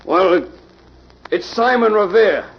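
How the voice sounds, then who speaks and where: A folksy male crook from a lumber camp, with a fairly high voice. He sounds like an easily flustered henchman in an old radio drama, heard through a dull recording with light noise. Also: animated, unhurried. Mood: nervous, flustered. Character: nervous male lumber-camp smuggler, self-serving henchman. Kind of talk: 1940s radio drama acting.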